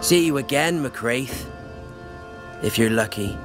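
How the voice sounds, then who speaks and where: An actor playing a sardonic, suspicious village gatekeeper in a game cutscene, grudging and foreboding. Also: male voice, medium pitch, slow pace, music in the background, natural voice. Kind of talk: dramatic game cutscene acting.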